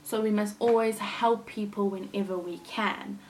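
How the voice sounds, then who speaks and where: A woman who teaches young children, telling a story with expressive, deliberate phrasing. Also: mid-range voice, a little hiss or hum, low-quality recording. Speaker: primary school teacher.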